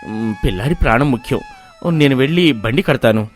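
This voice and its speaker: A man voicing a gentle, selfless village son-in-law in a moral story, speaking softly and respectfully. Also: mid-range voice, expressive, brisk, soft background music, lightly acted. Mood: earnest. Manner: soft, respectful, patient. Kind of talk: animated moral-story voice acting.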